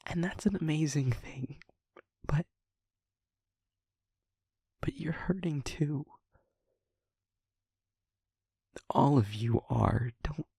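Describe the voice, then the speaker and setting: A man acting a guilt-ridden, tender-hearted young man in an intimate audio drama, with a breathy, husky voice and a halting, stammering, earnest delivery. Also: medium pitch, dark tone, no room sound, studio quality, full character voice. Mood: soft, pleading. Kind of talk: intimate audio roleplay drama, emotional monologue.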